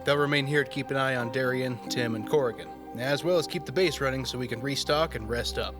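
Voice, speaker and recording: A man playing a firm, wry team planner in an audio drama, taking charge briskly. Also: medium pitch, over music, close to an everyday voice.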